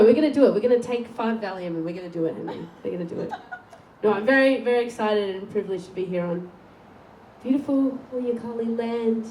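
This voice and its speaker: A bubbly woman in her thirties from Tasmania hosts with playful teasing and frequent laughter. Her mellow voice sounds distant in a roomy hall, with faint noise behind it. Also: mid-range voice. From Tasmania, Australia. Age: 30s. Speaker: host, songwriter. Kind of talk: playful live interview hosting. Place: community venue before an audience.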